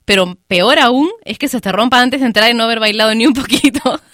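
A female radio host with a bright, sharp voice, chatting through listener messages and giggling as she goes. She is close on a studio microphone, and the sound is dry and close. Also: on the high side, a little fast, dry recording. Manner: chatty, giggles between stories. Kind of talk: casual radio chat reading listener messages.

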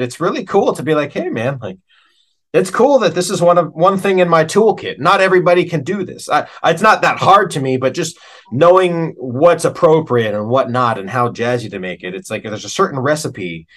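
An American man talking as an interview guest, a working musician speaking in a casual, reflective and candid way, often informal. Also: fairly high pitch. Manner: informal and candid, with frequent "man" and "you know".

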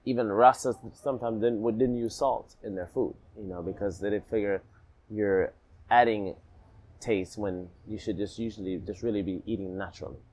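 A man from Alabama who grew up partly in Jamaica, telling his story as a podcast guest in a reflective, animated way. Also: lowish voice, normal speed, low-quality recording. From Alabama, raised partly in Jamaica. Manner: reflective, animated, rhythmic repetition. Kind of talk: casual storytelling in a podcast interview.

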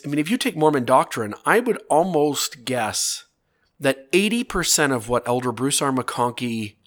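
A man with a touch of a cold in his voice, talking earnestly and at length. He stacks point after point in long lists and keeps checking in with his listener. His pitch sits in a middling range. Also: fairly bright tone. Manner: earnest, building arguments in long lists, frequent rhetorical "right?". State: has a slight cold. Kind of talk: casual talk to camera or podcast.

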